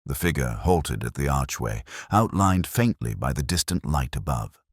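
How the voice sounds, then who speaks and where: A man narrating a scripted mystery story as an audiobook narrator, in a measured, solemn way. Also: rumbling low, lively intonation, slightly rough, dry recording, clean studio sound.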